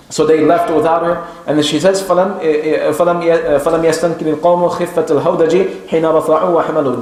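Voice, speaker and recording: A man preaching a religious lesson, moving between Arabic recitation and English explanation in a halting, repetitive way. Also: fairly high pitch. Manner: explanatory, halting, repeating phrases. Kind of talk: sermon retelling scripture, reciting Arabic and translating into English. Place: indoors in a mosque. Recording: echoey, reverberant room.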